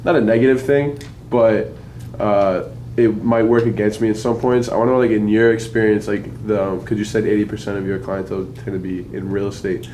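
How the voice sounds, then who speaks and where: Brief: young low-voiced man, rambling and hesitant, faint background noise. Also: steady tone, brisk, dark tone, rough recording. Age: 20s. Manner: hesitant, many fillers. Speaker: workshop attendee asking a question. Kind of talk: rambling audience question.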